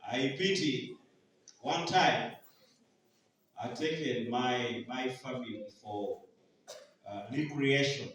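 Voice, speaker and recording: A man's voice: medium pitch, takes it slowly, pauses often, a bit bright, a little hiss or hum. A Ugandan man preaching a sermon, often asking the congregation to finish his sentences in a call-and-response style. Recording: echoey hall, voice from far off.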